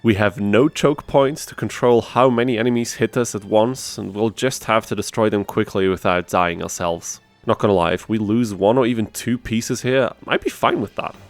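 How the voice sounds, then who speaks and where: Guy with a lowish voice doing gaming challenge commentary, chatty and a bit self-deprecating, music playing behind. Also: moderate pace, few pauses. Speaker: gaming video host. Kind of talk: scripted challenge-run narration with casual asides. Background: music under the voice.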